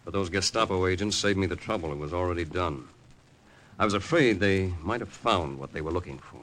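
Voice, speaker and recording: Low-voiced stern American agent. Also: male voice, brisk. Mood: matter-of-fact. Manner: earnest, forceful, moralizing. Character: stern, patriotic American male intelligence agent. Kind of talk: 1940s radio acting. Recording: old radio broadcast, faint noise.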